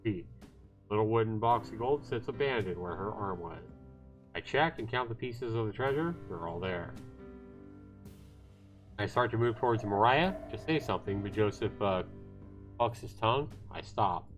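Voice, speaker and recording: Man, low voice, casual story read-aloud, small stumbles and corrections, clear guitar music behind. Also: expressive, moderate pace, pauses often. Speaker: livestreamer reading a visual novel aloud. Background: game music with guitar.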